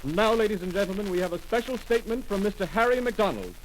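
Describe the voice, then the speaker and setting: An actor playing a radio announcer reading urgent news bulletins in a crisp manner, in a 1930s radio drama. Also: male voice, sits high, animated, quick pace. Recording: scratchy 1930s radio recording.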